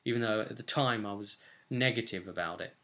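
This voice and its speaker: A man's voice: fairly low pitch, fairly quick, pushed and bright. A British man in his twenties talking casually to camera in a rambling, conversational way as a game master, recorded in a room at home. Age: 20s. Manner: rambling, conversational, with tag questions. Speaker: game master recapping sessions as vlogger. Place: small indoor room at home.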